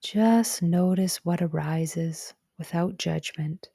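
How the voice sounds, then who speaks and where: A woman narrating a guided meditation in a gentle, calm and soothing way, with soft breathy phrase endings. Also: fairly low pitch, slow, no echo, studio quality. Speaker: psychologist guiding a meditation.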